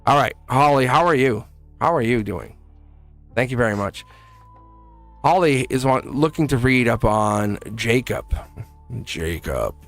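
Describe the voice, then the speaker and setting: A man's voice: mid-range voice, animated, measured pace, long pauses, a bit bright, no room sound, faint music behind. A young man doing a casual, upbeat live tarot reading, talking to viewers in a chatty way and laughing often. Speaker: tarot reader.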